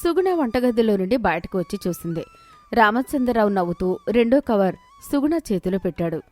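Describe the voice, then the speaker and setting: A woman's voice: medium pitch, very animated, brisk, mellow, soft background music. A woman narrating a scripted moral story as its storyteller.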